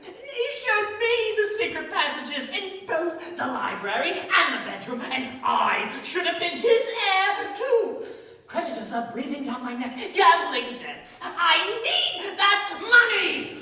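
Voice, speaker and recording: A man's voice: extremely high pitch, sing-song, slow, pushed and bright, full character voice. A man playing a desperate, greedy, unhinged young villain in a staged radio play, ranting bitterly and menacingly. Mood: bitter, frenzied. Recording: echoey hall, distant stage mic.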